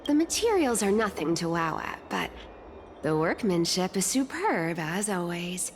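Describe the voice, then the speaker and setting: A woman voicing a sly, teasing young trickster thief in a video game, with drawn-out, sing-song phrasing. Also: lowish voice, big pitch swings, a little hiss or hum. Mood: playful, coaxing. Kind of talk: video game story voice acting. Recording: loud music behind the voice.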